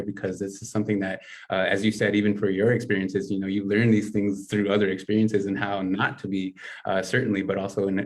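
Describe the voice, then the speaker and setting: Low, monotone male host; earnest and measured with lots of "uh". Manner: measured, earnest, many "uh" fillers. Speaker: podcast host.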